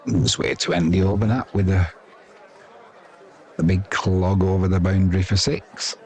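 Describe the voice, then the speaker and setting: A man's voice: sits low, steady tone, moderate pace, darkish tone, some chatter behind. A man giving casual, chatty live cricket commentary in an informal, self-deprecating way.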